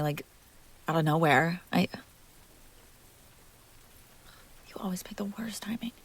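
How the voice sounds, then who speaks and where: A woman playing a jealous, hurt young woman confronting her crush in an intimate audio roleplay, halting, sarcastic and emotionally raw. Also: mid-range voice, darkish tone. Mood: flustered, guarded.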